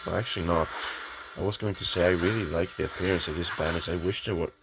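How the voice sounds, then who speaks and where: A man with a very low, flat voice talks over his gameplay, hesitant and unsure, stopping for ums. His voice sounds a little off in the early morning. A clear hiss and clicking sit under the recording. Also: quick pace. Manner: hesitant, many ums and restarts, thinking aloud. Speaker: gamer. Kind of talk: casual gameplay commentary. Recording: noisy home recording with clicks.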